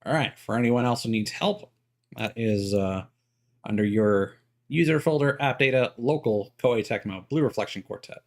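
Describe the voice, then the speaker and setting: A man live streaming a video game, chatting casually and thinking aloud in a halting way as he troubleshoots. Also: mid-range voice.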